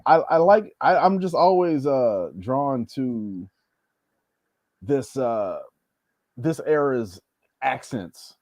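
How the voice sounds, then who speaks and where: A man chatting casually as a podcast guest, repeating his opening words haltingly. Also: mid-range voice, animated, darkish tone, no room sound. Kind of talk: casual chat. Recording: slightly dull, dry close sound.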